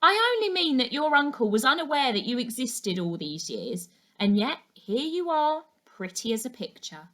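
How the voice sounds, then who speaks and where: A woman's voice: high-pitched, very animated, normal speed, few pauses, a bit bright, pitched above the performer's own voice. A woman voicing a flamboyant, gossipy village busybody in her sixties for a children's story, chatty, effusive and dramatic. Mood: amused, nosy.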